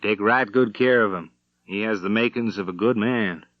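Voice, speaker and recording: A stern, calm gunfighter from a western audiobook, acted by a male narrator, speaks softly in a lowish voice. He is terse and deliberate, on a dry, slightly dull recording. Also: no room sound, lightly acted. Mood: stern, tender. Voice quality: soft-spoken. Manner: deliberate, restrained.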